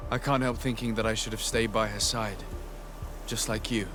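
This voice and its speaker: A man's voice: fairly low pitch, fairly even intonation, takes it slowly. An actor playing a courteous, compassionate young nobleman and diplomat in a video game, speaking politely and sincerely. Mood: sympathetic, regretful. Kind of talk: video game dialogue acting. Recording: game dialogue under prominent music.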